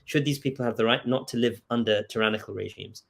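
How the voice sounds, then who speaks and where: A man speaking as a scholar in an earnest intellectual debate, insistent, pausing mid-thought and often checking for agreement. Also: fairly low pitch, creaky, fairly bright tone. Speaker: scholar and author in discussion. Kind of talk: earnest intellectual debate over video call. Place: video call.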